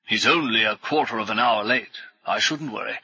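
A man voicing a shrewd, genial older professor with a light, understated gentlemanly manner in an audiobook. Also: fairly low pitch, moderate pace, slightly rough, no room sound, highs cut off, heavily acted. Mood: unhurried.

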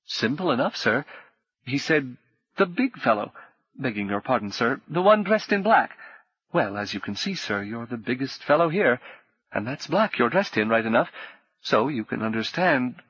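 A man's voice: medium pitch, very animated, normal speed, fairly bright tone, slightly rough, no room sound, highs cut off. A man voicing a nervous, stout male innkeeper who stammers and rambles anxiously. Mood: flustered.

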